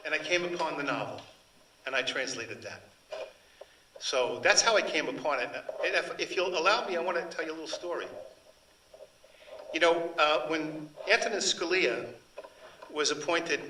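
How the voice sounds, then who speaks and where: A man's voice: a little high, noisy background. An older man, a translator whose first language is Sicilian, telling rambling anecdotes with many restarts at a book event. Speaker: translator and author. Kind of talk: storytelling talk at a book event. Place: event hall. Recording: echoey hall, mic from far.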